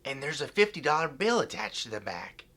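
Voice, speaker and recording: A man playing an earnest, polite high school football player in an audio drama, sincere and mild. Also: big pitch swings, fairly quick, pushed and bright.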